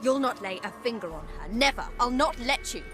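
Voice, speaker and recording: Game role: bitter zealous daughter young actress, slightly high, cutting. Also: female voice, animated, a little fast, music in the background, natural voice. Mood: defiant. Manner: firm, cutting. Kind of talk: video game cutscene acting.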